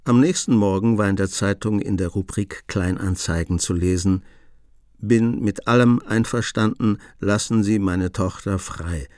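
Low-voiced male radio play narrator. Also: a little slow, darkish tone, no room sound, studio quality.

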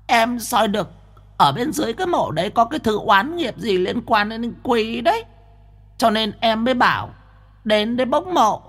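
A woman voicing a chatty, easily frightened young female spirit medium in a breathy voice. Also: on the high side, fairly bright tone, exaggerated voice. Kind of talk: audiobook character voice.

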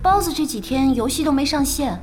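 Young gamer girl, high quick voice, radio drama. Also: lively intonation. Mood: light. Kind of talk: Chinese radio drama acting.

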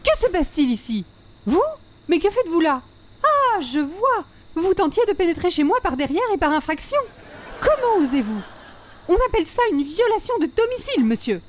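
A woman's voice: very high voice, big pitch swings, normal speed, a little hiss or hum. An actress playing a haughty, indignant female homeowner, scolding theatrically in a radio comedy. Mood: outraged, mocking. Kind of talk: 1940s-style radio comedy acting.

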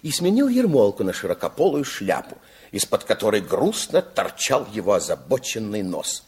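A man narrating a children's radio play in an expressive storybook style, with dramatic pauses. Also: fairly low pitch, slightly rough. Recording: old 1960s radio recording with music.

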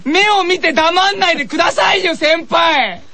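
A man doing comic banter as the junior co-host of a radio show, an exasperated straight man who often shouts his complaints. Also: very high voice, moderate pace, a crowd in the background. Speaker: voice actor and radio comedy co-host, the junior partner.

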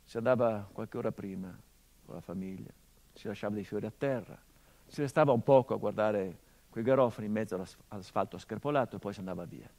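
A middle-aged actor playing the grieving son of a murdered journalist in a theatrical monologue, brooding and bitter, moving between hushed and impassioned delivery. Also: male voice, fairly low pitch, fast talker, gravelly. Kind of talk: theatrical monologue broadcast on radio.

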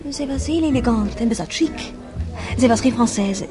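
An actress playing a modest young French nightclub hostess in a radio play, reserved and deferential. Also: female voice, fairly high pitch, normal speed, over loud music, lightly acted. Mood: modest, cautious.

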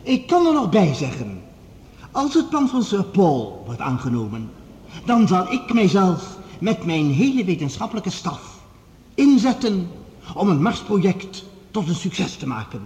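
An eminent radio-play scientist with a high-set, widely swinging voice. He speaks in measured, formal oratory, composed and statesmanlike, in a big reverberant hall. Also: big pitch swings, darkish tone, exaggerated voice. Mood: solemn, persuasive. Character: eminent male scientist, formal, composed, conceding rival professor. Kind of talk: radio play acting, formal speech to an assembly. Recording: echoey large hall with long reverb.

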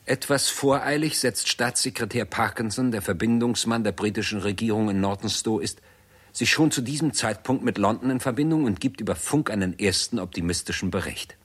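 Narrator for science fiction radio play: sober chronicler, fairly deep, rough-edged husky tone. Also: male voice, a little fast.

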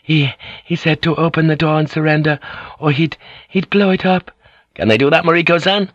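A man's voice: on the high side, fairly even intonation, fairly quick, dry recording, highs cut off, voice pushed up, close to an everyday voice. A man voicing a frantic pleading noblewoman. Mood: frightened. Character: desperate noblewoman, young adult, pleading. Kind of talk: audiobook character voice.

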